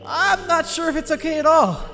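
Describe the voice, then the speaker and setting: A man's voice: extremely high pitch, normal speed, in a large hall, music in the background. A man playing an earnest, nerdy, anxious teenage boy in a dramatized comic dub. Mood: thoughtful, uneasy. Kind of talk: dramatized comic dub, dialogue and first-person narration.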